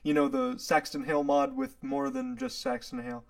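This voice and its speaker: A man's voice: extremely high pitch, very animated, moderate pace, gravelly. A young man hosting a casual chat with friends, telling a long story in a rambling way with many fillers and frequent laughs. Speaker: podcast host.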